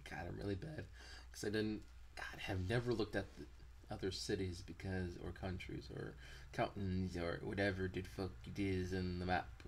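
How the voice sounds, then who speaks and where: Young american guy, lowish voice, stammers and gets flustered in a casual quiz. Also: fairly even intonation, normal speed, slight background noise. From United States. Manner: hesitant, stammering, self-deprecating. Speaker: quiz co-host.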